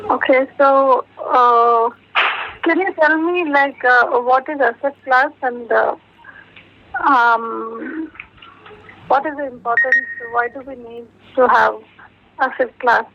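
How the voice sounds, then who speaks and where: A woman with an Indian accent interviewing a candidate over the telephone, measured, pausing and rephrasing her questions. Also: high-pitched, noisy background. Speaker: job interviewer. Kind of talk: technical phone interview questioning. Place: telephone call. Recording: muffled, dull telephone interview recording.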